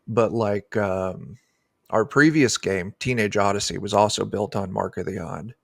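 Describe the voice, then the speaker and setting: Slow-paced man with a gravelly creak. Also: lowish voice, no echo, studio quality. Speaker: guest, tabletop game designer. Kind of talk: casual interview explanation. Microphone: desk microphone on a video call.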